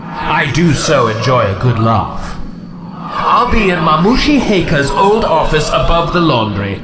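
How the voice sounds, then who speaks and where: An actor playing a haughty, sardonic demon lord in a video game, with pompous, florid formal diction and a sneering manner. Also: male voice, fairly high pitch, big pitch swings, a little slow. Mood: mocking menace. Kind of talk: theatrical video game villain acting. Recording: roomy echo, noisy ambient background.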